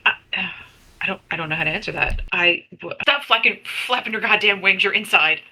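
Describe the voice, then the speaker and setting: Woman playing an exasperated, sweary spellcaster, sharp bright voice. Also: mid-range voice, rough recording. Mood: anxious, rattled. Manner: blunt, sweary, halting.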